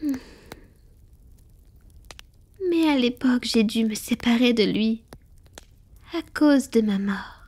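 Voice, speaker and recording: Femme jouant une vampire séculaire à voix haute et soufflée. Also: female voice, on the high side. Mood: wistful, confessional. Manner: soft, breathy, confiding, measured. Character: centuries-old female vampire, powerful, tender, confiding, melancholic. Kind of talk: scripted intimate roleplay audio, soft-spoken with whispering.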